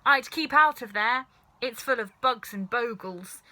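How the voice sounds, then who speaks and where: A woman voicing an excitable, anxious young boy who exclaims and calls out in a children's storybook. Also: fairly high pitch, unhurried. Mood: alarmed. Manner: exclaiming, calling out.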